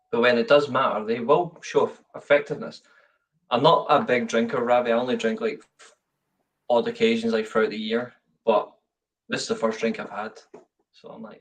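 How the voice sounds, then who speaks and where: Man, banter, swearing, casual football chat, mid pitch, a bit far from the mic. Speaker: watchalong co-host.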